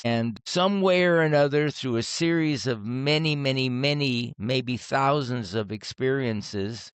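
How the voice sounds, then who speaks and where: A man in his seventies from Cuba, who learned English as a third language, talking reflectively and philosophically in measured phrases as an interview guest. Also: medium pitch, clear, resonant tone, no echo. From Cuba, then Miami in the US. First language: not English, which was a third language. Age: 70s. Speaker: podcast guest, retired optometrist and author.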